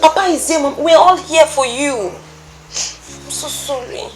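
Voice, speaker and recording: A woman's voice: sits high, a little slow, noisy background. An actress playing a family member who earnestly comforts a beaten woman. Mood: comforting. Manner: soothing, earnest.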